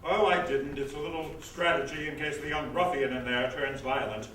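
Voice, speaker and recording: An actor playing a flamboyant, scheming impresario in a comic stage reading, mocking and melodramatic with a hammy, projected delivery. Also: male voice, fairly high pitch, fairly even intonation, normal speed, fairly bright tone, close to an everyday voice. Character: flamboyant, scheming theatrical impresario, taunting a rival. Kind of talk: comic stage acting, readers theatre. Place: indoor stage or hall. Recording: echoey stage, distant mic, faint noise.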